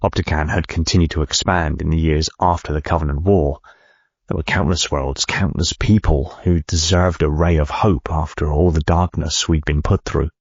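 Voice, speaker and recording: A driven ceo dictating his memoir, super low husky voice, measured and thoughtful, bit muffled. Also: steady tone, no room sound, full character voice. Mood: earnest, resolute. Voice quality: gravelly, husky. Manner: reflective, measured, articulate. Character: driven male medical company CEO recounting his life. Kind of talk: first-person memoir narration.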